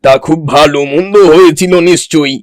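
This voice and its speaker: A self-important village landlord from a cartoon, acted by a man. The male voice is pitched well up and speaks with emphatic, theatrical weight. The recording is close and dry. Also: fairly quick, dry recording, lightly acted. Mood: genial. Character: proud village landlord uncle, genial but quick to outrage. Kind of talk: exaggerated cartoon dialogue.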